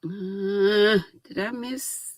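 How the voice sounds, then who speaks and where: A woman's voice: mid-range voice, steady tone, extremely slow, no room sound. An older woman hosting a casual livestream, chatting folksily and giggling as she reads viewer comments aloud. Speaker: livestream host.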